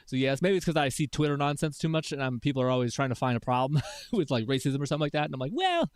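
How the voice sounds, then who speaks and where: A man's voice: mid-range voice, fairly even intonation, racing, no room sound, clean studio sound. A young adult man streaming live, chatting with his viewers in a rambling, laugh-filled way with many restarts. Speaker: streamer reading chat. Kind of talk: casual live-stream chat.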